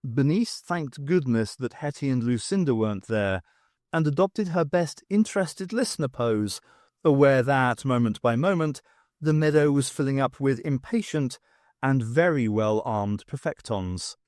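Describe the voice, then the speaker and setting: A man reading scripted audiobook narration in an expressive storytelling manner. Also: medium pitch, measured pace, no room sound, studio quality.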